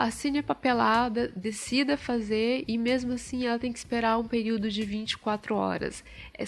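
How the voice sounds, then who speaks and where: A woman guest explaining a topic informally, pausing often with fillers as she thinks aloud. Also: mid-range voice, vocal fry.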